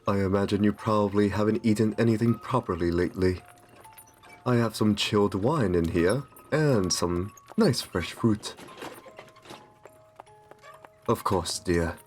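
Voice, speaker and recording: A man playing a courtly, soothing vampire in an ASMR roleplay, speaking softly and genteelly with a possessive kindness. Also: on the low side, faint music behind, lightly acted. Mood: soothing, caring. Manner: soft, soothing, genteel, unhurried.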